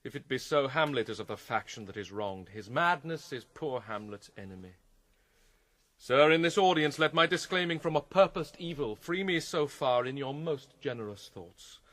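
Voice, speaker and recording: Brief: male actor as troubled young prince, mid-pitched, theatrical, dryly mocking and reflective, Shakespearean radio drama, close dry sound. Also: lively intonation, a bit bright, no room sound, natural voice. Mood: earnest, declamatory. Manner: theatrical, quick-witted, shifting between dry mockery and reflection. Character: troubled, witty, melancholy Danish prince, young man.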